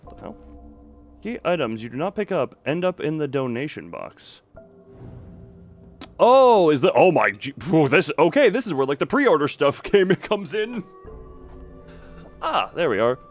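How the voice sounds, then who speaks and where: Male streamer, casual play commentary, lively intonation, stop-start wry delivery, light music underneath. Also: on the high side, measured pace, long pauses. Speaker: game streamer. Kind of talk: casual live game commentary. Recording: faint game music behind.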